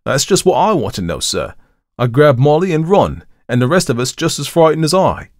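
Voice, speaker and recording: A male narrator plays a young Cockney manservant from a storybook, an old soldier turned servant. He is colloquial and respectful to his master, yet full of indignation. His pitch swings about freely in a dry, close recording. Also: very animated, a little fast, no room sound. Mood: embarrassed, earnest. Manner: colloquial, deferential, calls master sir.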